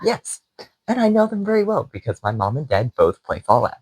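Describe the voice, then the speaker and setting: A young man co-hosting a podcast, telling an animated story in casual chat with frequent laughs. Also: medium pitch, fairly quick, slightly rough, no room sound. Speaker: podcast co-host.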